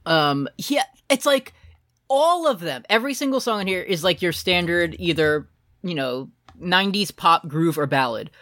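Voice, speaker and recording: Woman cohost, low voice but super lively, sarcastic, dry mic. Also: moderate pace, dry recording. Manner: animated, laughing, sarcastic. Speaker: podcast co-host. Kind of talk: casual music review chat.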